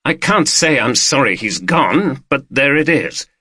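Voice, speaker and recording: A man playing a weary partner who speaks calmly and reassuringly, with a firm edge. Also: medium pitch, a little slow, husky, no room sound, studio quality, pitched above the performer's own voice, exaggerated voice. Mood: soothing. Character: weary, soothing man with a past temper.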